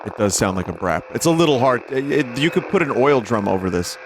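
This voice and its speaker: A man's voice: medium pitch, very animated, a little fast, background noise. A man hosting a livestream, reading text aloud and commenting casually. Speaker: streamer and host.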